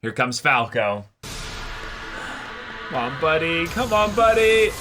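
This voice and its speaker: A man's voice: fairly high pitch, very animated, slow pace. A man giving a casual, exclamatory live reaction to an anime episode, swearing freely. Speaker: reaction show host. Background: music from the episode being watched.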